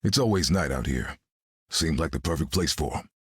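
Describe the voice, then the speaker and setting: A gruff vampire hunter for a video game. His voice is extremely deep and husky, and he talks in a cool, streetwise way. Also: animated, normal speed, no echo, studio quality, exaggerated voice. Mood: wary, casual. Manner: colloquial, cool. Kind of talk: video game character voice acting.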